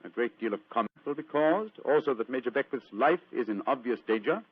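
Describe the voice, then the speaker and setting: An actor playing a keen, courteous gentleman detective in an old-time radio drama, clipped and probing in manner. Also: medium pitch, animated, brisk, husky, dry recording. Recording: dull, lo-fi old radio recording.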